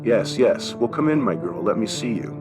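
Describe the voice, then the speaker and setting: A man's voice: on the low side, sing-song, normal speed, over music, voice pushed up. A man voicing a kindly, wry old German shopkeeper in an audiobook story, with a thin accented voice and avuncular questions. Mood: genial. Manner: avuncular, chuckling, rhetorical questions.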